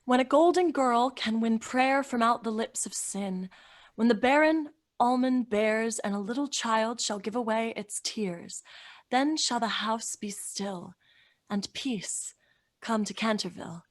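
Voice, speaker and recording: A woman's voice: fairly high pitch, a little slow, natural voice. A young woman playing an earnest, kind and fearless young girl in an old-time radio drama. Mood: gentle, solemn. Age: young adult. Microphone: studio microphone with pop filter, over video call.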